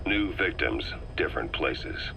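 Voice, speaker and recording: An actor playing a shrewd middle-aged military interrogator in a video game, talking in a pointed, sardonic way. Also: male voice, extremely low pitch, lively intonation, a little slow, creaky, background noise, heavily acted. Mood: grim, deductive. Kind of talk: dramatic game dialogue.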